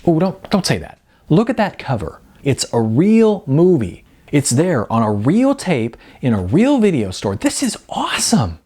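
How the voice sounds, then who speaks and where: High gushing young mom, thrilled and breathless, as a male narrator puts her on. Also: wildly swooping pitch, measured pace, darkish tone, breathy edge, full character voice. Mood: encouraging. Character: excitable young mother, woman, giddy. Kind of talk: audiobook character voice.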